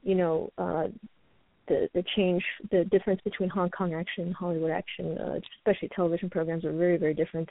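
An American woman, a native Chinese speaker, giving a conversational interview as a call-in guest, rambling haltingly and often repeating words. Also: on the low side, level tone, no room sound. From New York, United States. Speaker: guest, actress and martial artist. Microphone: telephone call. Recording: dry, narrow phone line.

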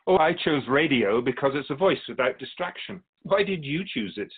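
A thin, phone-like radio drama recording carries an otherworldly male keeper of souls, acted by a man. His voice sits a little high and moves in lively swoops. He is courteous and patient, explaining in measured, formal phrases. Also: breathy edge. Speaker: guest on a radio show. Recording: thin phone-like band-limited audio.

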